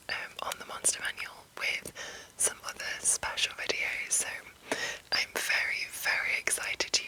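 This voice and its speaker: Whispering, slow-paced woman doing ASMR. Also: low-quality recording. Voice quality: breathy whisper. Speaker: ASMR host reading a monster manual.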